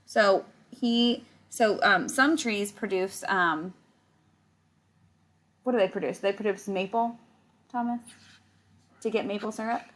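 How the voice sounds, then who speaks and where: A woman's voice: medium pitch, slow, pauses often. A woman reading a picture book aloud to children like a teacher, stretching words and adding casual explaining asides to her young listeners. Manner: animated storytelling, stretches words, chats directly to young listeners.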